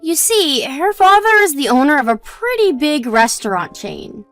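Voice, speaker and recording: A woman playing a gentle, modest young wife in a scripted audio story, talking in a reassuring, explanatory way. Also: sits high, very animated, moderate pace, soft background music. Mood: explaining, friendly.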